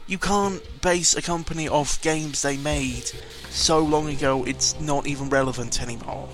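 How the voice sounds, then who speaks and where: Male gaming vlogger, mid-pitched, loose off-the-cuff delivery, hesitant and grumbly, clear music underneath. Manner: hesitant, meandering, opinionated grumbling with mild swearing. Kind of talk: rambling casual audio log over gameplay.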